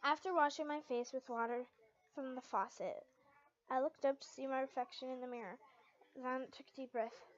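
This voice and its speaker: A woman's voice: sits high, fairly even intonation, moderate pace, lo-fi sound. A woman reading a story aloud in a dramatic amateur style, sometimes stumbling over words and correcting herself. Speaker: fan fiction reader.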